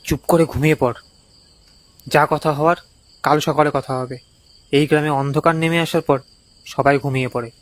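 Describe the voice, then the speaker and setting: Man as an anxious, insistent young villager, highish voice. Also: steady tone, normal speed, long pauses, close to an everyday voice. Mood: anxious warning. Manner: urgent, warning, insistent. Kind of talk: cartoon ghost story dialogue.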